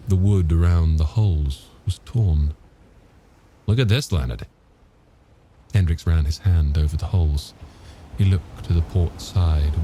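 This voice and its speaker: A man's voice: low voice, mellow, slight background noise. A man reading an audiobook as narrator, in a scripted storytelling delivery.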